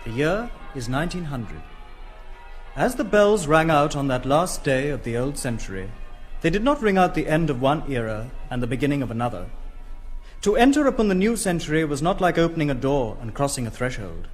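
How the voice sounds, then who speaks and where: A solemn narrator of history, speaks orotund English with measured care. His fairly high voice moves expressively over soft music in a radio drama. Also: big pitch swings. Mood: solemn, nostalgic. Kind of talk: scripted theatrical narration.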